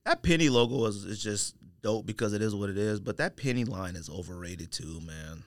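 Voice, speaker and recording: A man's voice: on the low side, lively intonation, brisk, no echo, studio quality. A man co-hosting a podcast in casual, slangy banter, speaking in an opinionated and emphatic way. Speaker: podcast co-host.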